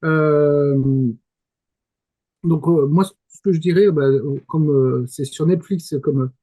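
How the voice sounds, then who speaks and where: Male panelist, 40s. Slightly high, relaxed tone, tentative thinking-aloud delivery in casual chat. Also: slow pace, low-quality recording. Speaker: talk show panelist.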